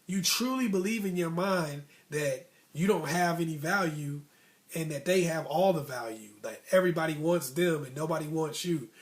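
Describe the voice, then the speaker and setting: An American man with a slightly high voice shares stories from his own life as informal motivational advice. He talks conversationally and leans on repeated phrases to drive his points home. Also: moderate pace. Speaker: host of a motivational storytelling vlog.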